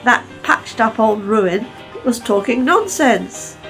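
A woman's voice: on the high side, expressive, takes it slowly, fairly bright tone, faint music behind, heavily acted. Woman voicing Lord Harry, a bragging engine. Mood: boastful. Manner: scornful and bragging. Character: boastful reckless male steam engine.

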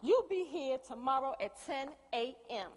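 A devout, assertive businesswoman with a high, swooping voice. She is sassy, firm and teasing in stage-comedy style. The theatre adds a long reverb. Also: expressive, moderate pace. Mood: challenging. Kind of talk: comic stage play acting. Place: large indoor theatre stage.

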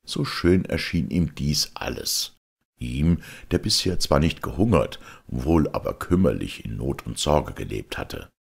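A man's voice: a bass voice, expressive, unhurried, vocal fry, breathy edge. A man reading a fairy tale aloud as a storyteller, in scripted narration.

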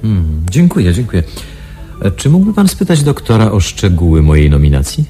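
An actor playing a reserved, politely hesitant asylum newcomer in a radio play. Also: male voice, deep voice, big pitch swings, moderate pace, dark tone, faint music behind. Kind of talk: Polish radio play acting.

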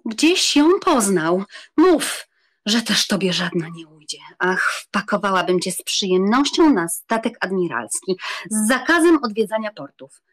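A woman's voice: medium pitch, sing-song, measured pace. A woman playing a jealous, imperious countess in a dramatized audiobook, petulant and commanding, slipping into French. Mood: angry, jealous. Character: jealous, imperious young countess scolding her lover.

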